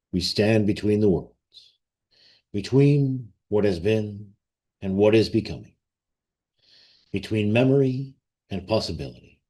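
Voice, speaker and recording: Very slow man leading guided meditation. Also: fairly low pitch, darkish tone. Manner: solemn, reverent, measured with long pauses. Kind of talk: guided meditation and ceremonial invocation.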